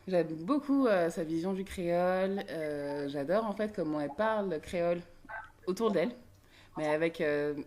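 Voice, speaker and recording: A woman hosting a relaxed interview show, a little lower in pitch than most women. She asks her guest questions with frequent pauses and fillers. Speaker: podcast host. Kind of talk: casual interview.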